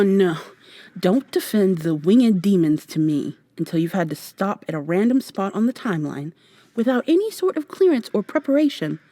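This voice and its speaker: A woman playing a brisk, awkward time traveler in an ASMR roleplay, talking to the listener in a rambling, wry way and thinking out loud. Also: mid-range voice, no echo. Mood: exasperated. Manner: rambling, hesitant, thinking out loud mid-sentence. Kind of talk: ASMR roleplay, acted one-sided dialogue to the listener.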